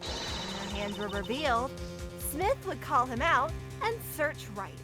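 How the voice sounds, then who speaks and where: Woman playing sly card-sharp gal with a fake Russian lilt, slow and smug, high voice, music under it. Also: sing-song. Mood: scheming. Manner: smug, drawling confession. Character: sly female card dealer with put-on Russian accent. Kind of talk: fan dub read-through.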